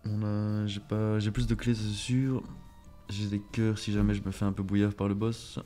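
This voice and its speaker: A man with a fairly low voice chats his way through a video game run, joking at his own expense and muttering curses when things go wrong. Faint game music plays behind him. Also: level tone, moderate pace, mellow. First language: French. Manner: chatty, self-mocking, swears under breath. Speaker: gaming streamer. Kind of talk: casual let's play commentary.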